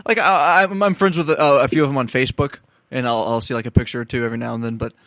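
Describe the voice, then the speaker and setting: A man in his twenties, born in Ukraine, answering questions as an easygoing guest. His voice sits at an ordinary middle pitch, and he pokes fun at himself. The recording is dry and close. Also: fairly even intonation, quick pace, a bit bright, no room sound. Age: 20s. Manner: easygoing, self-deprecating. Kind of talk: casual interview.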